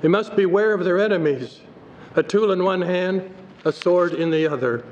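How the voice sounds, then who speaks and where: An older male pastor reads a sermon from his notes in a steady, measured way, his voice a little high for a man. The sound is dull and slightly muffled, with a long hall reverb and faint noise from the sanctuary around him. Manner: measured, reads scripture aloud from the page. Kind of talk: sermon read from notes at a pulpit. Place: church sanctuary.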